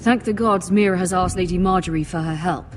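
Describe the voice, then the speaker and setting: A woman's voice: on the low side, fairly quick, faint music behind, close to an everyday voice. A woman playing a poised, worried noblewoman who keeps the peace in a video game drama. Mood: concerned, firm.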